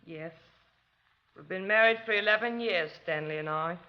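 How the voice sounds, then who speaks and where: A woman acting a stiff, downtrodden housemaid wife in a radio drama, quiet and reserved. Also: fairly low pitch, moderate pace, lightly acted. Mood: guarded, subdued. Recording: muffled old radio recording.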